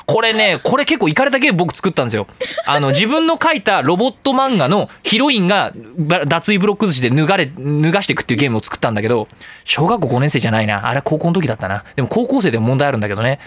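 A man's voice: on the high side, no echo. A man hosting a casual comedic radio talk, telling stories in a self-mocking, joking way and acting out bits of dialogue. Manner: animated storyteller, acting out dialogue and game sounds. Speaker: radio talk show host. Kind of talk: comedic casual monologue about games.

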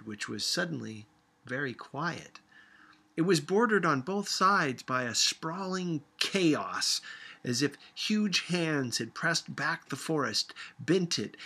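A man reading a literary story aloud as an audiobook narrator, expressive and dramatic in his delivery. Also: medium pitch, gravelly, husky. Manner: dramatic, acts out dialogue. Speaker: audiobook reader.

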